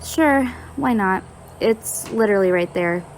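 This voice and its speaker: A woman's voice: mid-range voice, unhurried, slight background noise, natural voice. A woman playing a blunt, teasing young college student with a dry, bossy manner in an audio roleplay. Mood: dry, playful.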